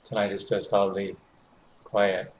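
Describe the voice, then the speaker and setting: A man with a lowish voice narrates a horror visual novel in the first person. His intonation is very flat, hushed and measured, with pauses between phrases. Faint noise sits behind the home recording.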